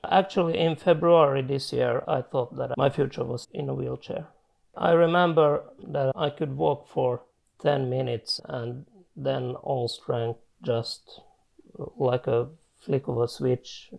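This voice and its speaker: Gravelly man in his forties. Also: medium pitch, steady tone, darkish tone, rough recording. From Finland. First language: Swedish. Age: 40s. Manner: halting, with frequent restarts and self-corrections in careful non-native English. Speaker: client giving a testimonial. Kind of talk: reflective personal testimonial.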